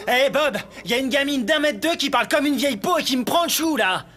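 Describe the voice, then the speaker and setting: A man's voice: very high voice, expressive, brisk, sharp tone, heavily acted. A man voicing a cocky young male delinquent fighter in a French anime dub, with a swaggering, threatening manner. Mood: mocking. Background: anime music score.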